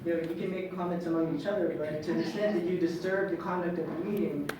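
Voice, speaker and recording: A man's voice: fairly high pitch, steady tone, quick pace, noisy background. A man serving as city attorney, explaining procedure formally at a public meeting. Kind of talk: reading law aloud at a public meeting. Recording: distant, very echoey hall with crowd.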